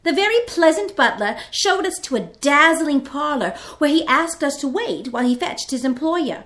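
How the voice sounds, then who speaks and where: An earnest girl of sixteen , acted by a woman, narrates her diary for an old-time radio play. Her voice is high and bright. She tells it theatrically, with swooping, animated intonation. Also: normal speed. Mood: earnest, crisp. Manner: vivid, theatrical storytelling.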